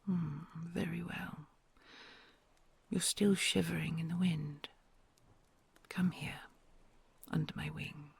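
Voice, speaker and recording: A woman voicing a kind, gentle female red dragon queen in an ASMR audio roleplay, talking in a soft, soothing, mostly whispered way. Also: low voice, fairly even intonation, takes it slowly, vocal fry, long pauses, slightly rough, dry recording, exaggerated voice. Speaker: voice actor.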